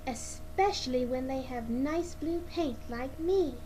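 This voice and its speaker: Eager little boy engine for a storybook, played by a woman: high, chirpy, proud, on a noisy home recording. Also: slow, warm tone. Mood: self-assured. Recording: clearly noisy amateur recording.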